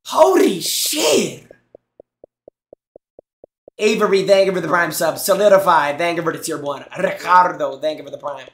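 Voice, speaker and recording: A man's voice: fairly high pitch, expressive, normal speed, long pauses, a bit bright, reverberant, slight background noise. A young man streaming on a headset mic in a small room, reacting to gameplay clips with teasing jokes and thanking his chat. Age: young adult. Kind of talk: casual live-stream commentary.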